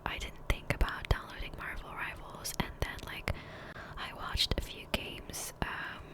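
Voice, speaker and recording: A woman whispering softly and breathily in a chatty, rambling ASMR style over gameplay, close to a condenser microphone. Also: a little slow. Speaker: gaming ASMR creator. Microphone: close USB condenser microphone.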